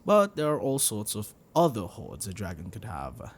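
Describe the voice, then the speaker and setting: A man plays an affectionate dragon boyfriend for an ASMR roleplay, low and gravelly. He is bashful and protective, doting softly with hesitant pauses, and he takes his time while his pitch moves around freely. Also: wildly swooping pitch, heavily acted. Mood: patient, explaining.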